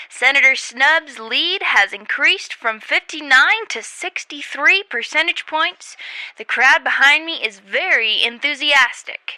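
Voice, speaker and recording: A woman's voice: high-pitched, sharp tone, dry recording, exaggerated voice, poor audio. A woman playing an upbeat TV field reporter in a comedy radio play, with an emphatic announcer's delivery. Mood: emphatic reporting. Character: upbeat female TV field reporter on election night.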